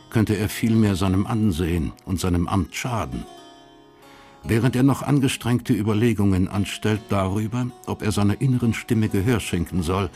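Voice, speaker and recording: Narrator, male: deep voice, measured scripted storytelling for a radio mystery, light music underneath. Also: darkish tone.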